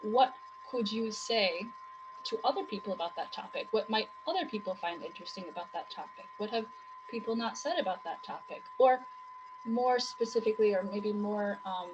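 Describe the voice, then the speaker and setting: A woman's voice: mid-range voice, animated, normal speed, lo-fi sound, background noise. A woman writing tutor, losing her voice, explaining in long run-on sentences full of rhetorical questions. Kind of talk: conversational teaching talk.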